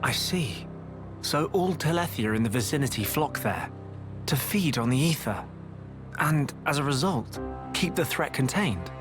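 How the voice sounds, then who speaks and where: An actor plays a young male game hero, polite and sincere. His voice carries quiet resolve, with music clearly heard behind it. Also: mid-range voice, expressive, measured pace, natural voice. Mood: polite, attentive. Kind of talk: video game voice acting.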